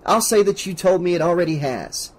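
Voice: medium pitch, lively intonation, a little fast, darkish tone, natural voice, rough recording. A narrator voicing an earnest, put-upon young bank teller, a man with a defensive manner, in an audiobook. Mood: wry.

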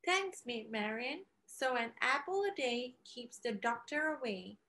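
A woman's voice: fairly high pitch, expressive, measured pace, bright, forceful tone, slight background noise, full character voice. A young woman playing a sweet, hesitant storybook princess in a pantomime. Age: young adult. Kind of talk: children's pantomime acting.

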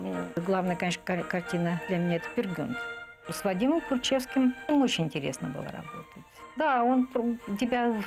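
Middle-aged woman reminiscing, mid-pitched. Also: darkish tone. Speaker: animation artist recalling a colleague. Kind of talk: reminiscing interview talk. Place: indoor room, seated at a table.

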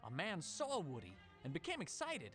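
A man's voice: fairly high pitch, huge pitch swings, moderate pace, over music. A man reading a scripted children's storybook as narrator.

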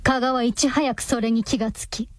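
A woman's voice: a little high, steady tone, fairly quick, no echo. A woman narrator reading a radio drama in a scripted, dramatic storytelling style.

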